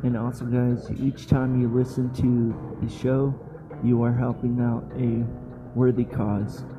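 A man hosting a podcast, reading an outro in halting, short phrases. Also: mid-range voice, flat delivery. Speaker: podcast host. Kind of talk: scripted podcast sign-off. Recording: dull lo-fi audio. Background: prominent plucked guitar music.

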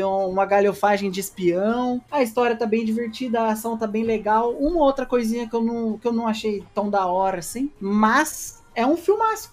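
A man with a Brazilian accent co-hosting a podcast and chatting loosely about films he enjoyed. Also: sits high. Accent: Brazilian Portuguese. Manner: relaxed, slangy, talkative. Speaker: podcast co-host. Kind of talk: casual film chat.

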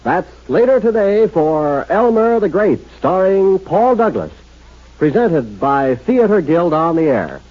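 A man's voice: high voice, sing-song, unhurried. A man announcing a network promo in 1950s radio style. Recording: dull 1950s radio recording.

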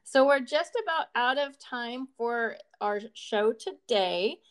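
A woman's voice: a little high, lively intonation, slow, smooth. A woman hosting an interview show, speaking in a conversational, enthusiastic and articulate way. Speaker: show host.

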